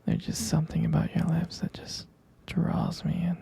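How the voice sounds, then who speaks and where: A man playing an adoring, tender vampire lover in an intimate ASMR roleplay, whispering breathily and haltingly with gravelly fry. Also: on the high side, monotone, heavily acted. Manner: slow, halting, softly repeating himself. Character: adoring, tender male vampire lover soothing his sweetheart.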